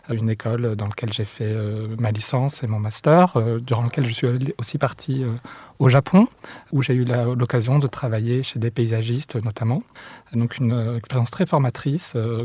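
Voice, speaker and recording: A man, an architect, calmly explaining his studies and research in an interview, with frequent hesitations. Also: mid-range voice, dry recording. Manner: measured, thoughtful, frequent fillers. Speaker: architect, office co-founder.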